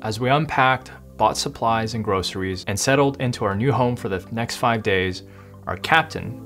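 A man's voice: fairly low pitch, moderate pace, a bit bright, faint music behind. A young man narrating his travel vlog in an upbeat, chatty way. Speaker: vlogger.

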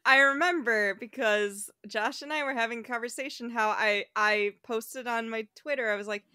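A woman co-hosting a podcast who is blunt and expressive and swears freely. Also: on the high side, moderate pace, smooth, dry recording, studio quality. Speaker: podcast co-host. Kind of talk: casual chat.

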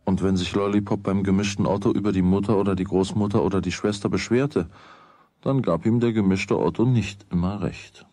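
A wry storyteller narrates a children's radio play. The voice is very deep and rough-edged, conversational and musing, in a very dry recording. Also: male voice, steady tone, a little fast, mellow, no echo.

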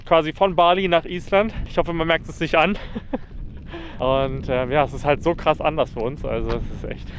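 A young man chatting excitedly and casually as a travel vlogger on a road trip, with plenty of slang. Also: on the high side, normal speed, a little hiss or hum. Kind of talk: casual travel vlog chat. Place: outdoors by a car on a road trip.

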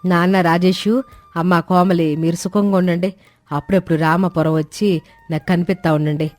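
A woman plays a kindly older mother-in-law in a cartoon family tale. Her lowish voice is motherly and anxious, dry over faint music. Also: fairly even intonation, normal speed, darkish tone, dry recording, lightly acted. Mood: tender. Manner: soothing, pleading, motherly. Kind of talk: animated moral story acting.

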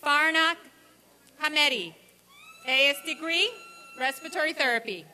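A woman's voice: high voice, normal speed, sharp tone. A woman formally reading a roll of graduates as program director at a commencement ceremony. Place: outdoor college commencement ceremony.

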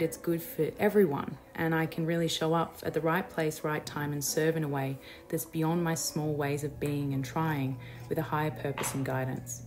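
A young woman reading an earnest, introspective voice-over for her vlog. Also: sits low. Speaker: vlogger. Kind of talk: reflective scripted voice-over. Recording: voice-over with loud music.

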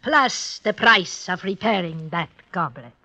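An actress playing a cold, mocking blackmailing duchess in a 1950s radio drama, speaking in measured, formal phrases. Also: female voice, fairly low pitch, expressive, background noise. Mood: menacing, amused. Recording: muffled 1950s radio recording.

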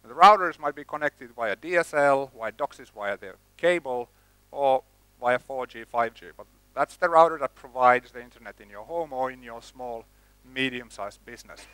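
A man's voice: medium pitch, animated, moderate pace, a bit bright, clean tone, no room sound, low-quality recording. A middle-aged man with a Finnish accent giving a conference talk on stage, speaking plainly and often restarting his words. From Finland. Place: conference hall stage with projector screen. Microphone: headset mic.

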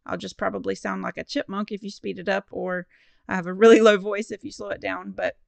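A woman talking through a paper-craft tutorial, friendly and encouraging as she explains each fold. Her voice sits mid-pitch with a strong gravelly fry running through it. The sound is dry and close, with no room around it. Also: level tone, brisk, dry recording. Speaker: card-making crafter. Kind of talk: step-by-step craft tutorial voice-over.

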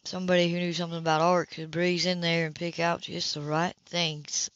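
A woman's voice: fairly low pitch, flat delivery, fairly quick, dry recording, dull audio, lightly acted. A woman reading the lines of a confident, easygoing, teasing man in an amateur audiobook. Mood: easygoing, conversational.

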